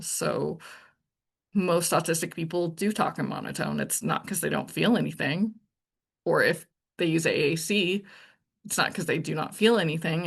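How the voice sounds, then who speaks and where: Flat-voiced woman with a gravelly husk. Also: deep voice. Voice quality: monotone. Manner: blunt and to the point. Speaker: channel host. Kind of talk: casual true-crime commentary monologue.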